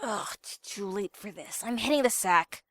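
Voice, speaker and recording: A woman's voice: medium pitch, lively intonation, normal speed, fairly bright tone, no room sound, clean studio sound, lightly acted. A woman playing a stubborn, weary pegasus mother in a fantasy audio drama, curt and dismissive. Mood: fed up.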